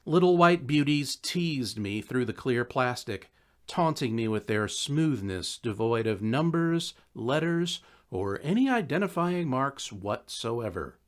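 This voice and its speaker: A man reading a scripted first-person story in a wry, conversational, expressive way. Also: mid-range voice, a little slow. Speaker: audiobook narrator.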